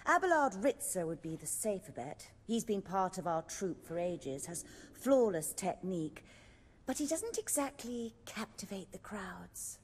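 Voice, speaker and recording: A seasoned, refined stage director from a fantasy game. She sounds husky at a medium pitch. Her phrasing is measured, articulate and a little theatrical, carried on long reverb. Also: very animated. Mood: businesslike. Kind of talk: video game dialogue acting.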